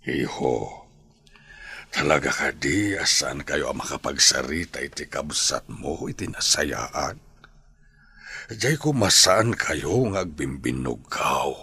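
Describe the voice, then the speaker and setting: An actor playing an old grandfather in a radio drama, patient, measured and admonishing. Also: rumbling low, animated, pushed and bright, slightly rough, exaggerated voice.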